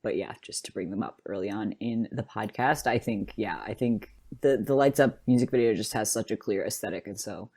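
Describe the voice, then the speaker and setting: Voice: medium pitch, brisk, hardly any pauses, darkish tone, breathy edge. A podcast host in casual, enthusiastic conversation, loosely phrased and peppered with "like". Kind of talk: casual fan podcast chat.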